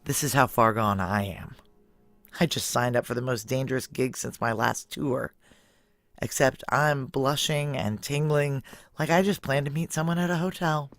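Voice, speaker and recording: An actress playing a tough, middle-aged security chief in an audio drama, brisk, clipped and dryly blunt. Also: female voice, rumbling low. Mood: confiding narration. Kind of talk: noir audio drama acting. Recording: music bed under voice.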